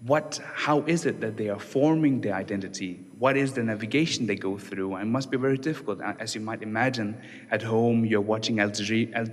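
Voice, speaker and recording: Danish-born man speaking in an echoey church. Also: on the low side. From Denmark. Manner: relaxed, conversational, many fillers and restarts. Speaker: doctoral researcher giving a talk. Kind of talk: conference presentation. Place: church hall. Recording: long reverb of a church hall.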